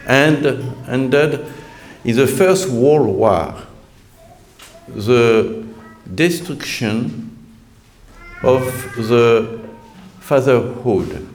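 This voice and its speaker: Man, French accent, priest lecturing, slow and halting, mid-pitched, restarts phrases, dry humor. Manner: measured, halting, with frequent restarts and dry self-deprecating humor. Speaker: priest giving a conference talk. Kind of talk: lecture-style sermon.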